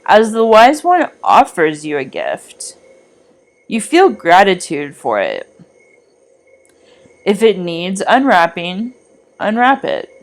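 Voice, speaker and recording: Slow-spoken woman guiding a meditation. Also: medium pitch, big pitch swings. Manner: soothing, deliberate, gently instructive. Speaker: meditation guide, art teacher. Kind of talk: guided meditation narration, scripted.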